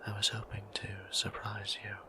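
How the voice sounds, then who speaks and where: A man playing a gentle, courteous vampire priest in an intimate audio roleplay, speaking in a breathy whisper with formal, old-fashioned phrasing. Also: monotone, normal speed, close to an everyday voice. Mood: tender, courteous. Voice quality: breathy whisper with creaky low tone.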